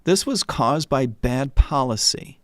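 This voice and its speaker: A man's voice: medium pitch, lively intonation, slow pace, no room sound, studio quality. A man in his sixties, a retired economist, telling stories as a guest in a casual interview and often repeating words when he starts a sentence.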